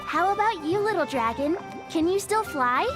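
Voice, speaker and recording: Small storybook creature, extremely high, childlike, eager, video game character. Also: female voice, lively intonation, normal speed. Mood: friendly, curious. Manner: childlike, earnest. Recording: music behind the voice.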